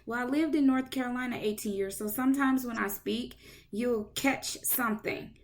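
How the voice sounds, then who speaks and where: A woman's voice: on the high side, moderate pace, a little hiss or hum. Brief: woman podcast host from Indiana, agreeable, laughs easily. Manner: friendly, agreeable, laughs often. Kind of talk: casual chat.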